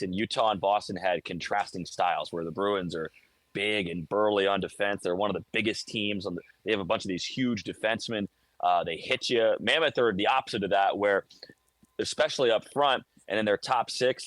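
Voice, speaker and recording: A man's voice: fairly low pitch, fairly quick, fairly bright tone, no echo. Male hockey announcer chatting, dry close sound. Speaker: hockey radio play-by-play announcer, guest. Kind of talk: casual sports talk, live game-day report. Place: high broadcast booth inside a hockey arena.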